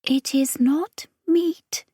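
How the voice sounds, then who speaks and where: Casting: woman to play solemn alien hive majordomo, raised pitch, slow, archaic and reverent, science fiction audiobook. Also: fairly even intonation, warm tone, no echo, studio quality.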